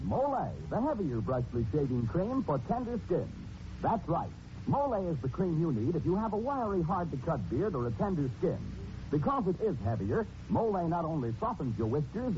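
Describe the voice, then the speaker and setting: Animated, higher-voiced American radio announcer, man. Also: highs cut off. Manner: jovial, sing-song salesman patter. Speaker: announcer reading sponsor ad. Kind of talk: 1940s radio commercial pitch. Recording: band-limited 1940s radio, music behind.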